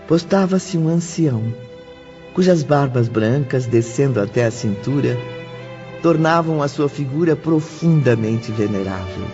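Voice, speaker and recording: A man reading scripted audiobook narration in a formal, expressive manner. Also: medium pitch, measured pace. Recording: dull lo-fi audio under music.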